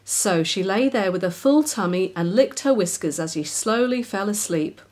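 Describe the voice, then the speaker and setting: A woman's voice: medium pitch, animated, normal speed, darkish tone. A woman narrating a children's story expressively as its author.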